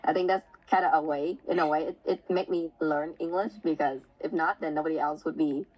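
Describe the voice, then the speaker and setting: A woman's voice: deep voice, steady tone, fairly quick, muffled recording. A woman interviewing someone in a casual, hesitant way, with many restarts and fillers. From Vietnam. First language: Vietnamese.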